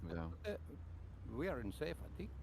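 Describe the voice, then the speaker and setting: A man's voice: a little high, sing-song, a little fast, gravelly, background noise. A man from Belgium joining a group flight chat, joking and laughing at his own remarks. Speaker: stream participant.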